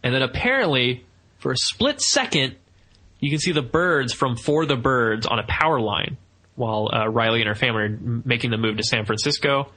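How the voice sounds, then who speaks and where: A man's voice: mid-range voice, fairly quick, pushed and bright, low-quality recording. A man co-hosting a film review podcast, chatting casually with geeky enthusiasm. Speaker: podcast co-host reviewing films. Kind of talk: casual fan discussion.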